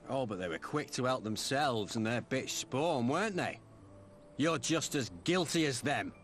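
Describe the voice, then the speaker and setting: Sneering, spiteful bully, animated delivery. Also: male voice, mid-range voice, a little slow, soft background music. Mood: accusing. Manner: sneering, taunting. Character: spiteful, bullying man who hates collaborators. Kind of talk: video game cutscene acting.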